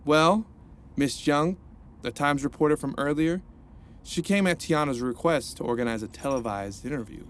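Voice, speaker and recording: A man's voice: on the high side, normal speed, a little hiss or hum, lightly acted. A man playing an earnest reformed supervillain. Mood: reflective. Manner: gentle, earnest, encouraging. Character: earnest reformed supervillain, now a male counselor. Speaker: rehab counselor and narrator. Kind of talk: audio drama acting.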